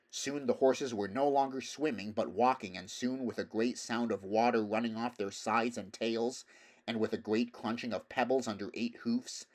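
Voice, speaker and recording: A man reading a story aloud as narrator, in a measured, expressive, dramatic style. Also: mid-range voice. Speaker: audiobook reader.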